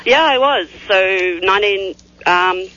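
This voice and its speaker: An Australian woman guesting on a radio interview, with a bright, forceful tone. She is friendly and laughs easily, pausing on "um" as she explains. The sound is dull and lo-fi, like a radio broadcast. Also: mid-range voice. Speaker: guest scientist.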